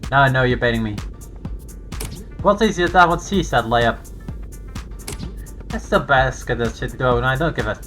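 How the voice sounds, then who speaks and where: An actor voicing a brash, teasing young joker in a video game, animated and full of slangy exclamations. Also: medium pitch, normal speed, pauses often, lightly acted. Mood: exasperated. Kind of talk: voiced visual novel dialogue. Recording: game audio with music behind.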